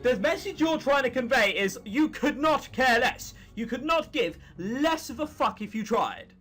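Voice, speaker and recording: High-pitched young British man, animated delivery. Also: a little slow. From England or Britain. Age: late teens. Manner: sarcastic, sweary asides. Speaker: gaming video host. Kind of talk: casual playthrough commentary, reading visual novel text aloud. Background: music.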